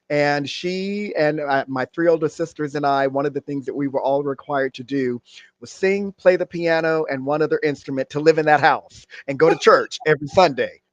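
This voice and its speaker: A man's voice: on the high side, dry recording, dull audio. A man from middle Georgia, a guest on an interview show, speaking earnestly and with some fillers. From middle Georgia, USA. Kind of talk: conversational interview.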